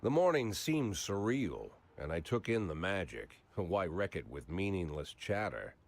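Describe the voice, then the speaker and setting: An actor playing a weary, hard-drinking older cop, dry and sardonic, in a noir video game. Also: male voice, low voice, sing-song, measured pace, heavily acted. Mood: weary narration. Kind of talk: scripted noir narration and dialogue.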